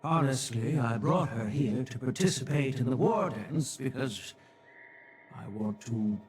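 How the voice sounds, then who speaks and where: An actor voicing a courteous, seasoned older martial master in a video game, formal and measured. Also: male voice, mid-range voice, expressive, darkish tone, close to an everyday voice. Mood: courteous, reflective. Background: game music.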